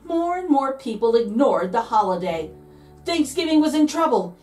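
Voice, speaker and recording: A woman reads a children's picture book with playful, theatrical emphasis. Her voice sits fairly high and swings widely in pitch, stretching key words for drama. She sounds a little away from the microphone. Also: sing-song, moderate pace, a little mellow, recorded from a distance. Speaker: read-aloud host, storyteller. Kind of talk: expressive children's picture book read-aloud.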